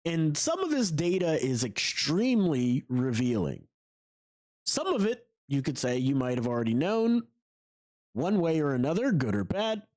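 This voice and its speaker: A man with a stuffy, nasal voice from a cold talks through a solo podcast. His pitch sits a bit high and swings widely as he tosses out rhetorical questions. He fills pauses with uh and um. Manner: animated, rhetorical questions, frequent uh and um. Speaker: podcast host. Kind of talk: solo sports podcast monologue.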